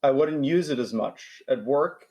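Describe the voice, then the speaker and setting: A man's voice: medium pitch, measured pace, darkish tone. Man in his forties who stutters. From New York, USA. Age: 40s. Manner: stutters, with repeated words and restarts. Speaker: guest. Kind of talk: casual interview talk.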